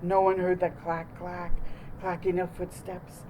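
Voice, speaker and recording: Slow, mellow woman reading a picture book. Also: fairly low pitch, a little hiss or hum, rough recording. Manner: expressive, deliberate. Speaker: storytime host reading aloud. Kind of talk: children's picture book narration.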